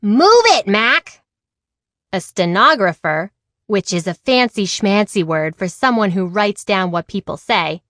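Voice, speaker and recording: A woman reading a children's picture book aloud in an expressive way, acting out the dialogue. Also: medium pitch, normal speed, no room sound, clean studio sound. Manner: animated, acts out dialogue. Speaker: read-aloud storyteller.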